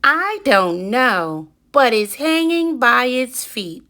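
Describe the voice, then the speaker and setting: A woman's voice: on the high side, sing-song, slow pace, pushed and bright, pitched above the performer's own voice, full character voice. A woman voicing curious, startled baby birds in a storybook, with exaggerated wonder. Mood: puzzled. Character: curious, startled little baby birds chirping in their nest.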